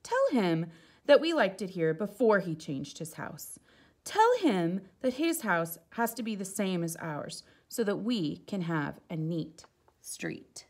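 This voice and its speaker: A woman voicing indignant, scandalized neighbors from a picture book, loud and exclaiming with drawn-out emphasis. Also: mid-range voice, very animated, exaggerated voice. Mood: disapproving.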